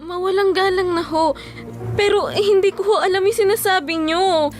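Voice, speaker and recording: A woman's voice: extremely high pitch, normal speed, faint music behind. A woman playing an emotional, flustered young office worker with a Filipino accent in an audio drama. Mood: shocked, pleading. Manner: pleading, emotional, indignant.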